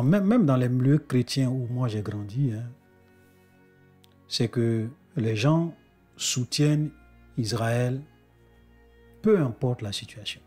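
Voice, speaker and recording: A man's voice: medium pitch, darkish tone, breathy edge. A man with a cold preaching to his brothers and sisters in an earnest spoken sermon, often restarting his phrases. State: congested with a cold.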